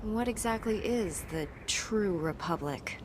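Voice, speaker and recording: A woman playing a calm, dutiful Jedi Knight in a video game, measured and earnest in delivery. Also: medium pitch, noisy background, lightly acted. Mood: cautious, dutiful.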